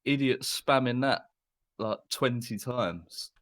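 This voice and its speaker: A man's voice: medium pitch, slow, a bit bright, no room sound. A man from London, a podcast guest, who talks tersely with dry self-mockery. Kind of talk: casual podcast chat.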